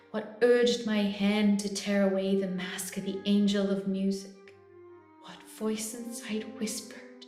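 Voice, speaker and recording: An actress playing a haunted, regretful young woman questioning herself in a hushed, measured audio drama monologue. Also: mid-range voice. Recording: echoey room, music underneath. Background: music.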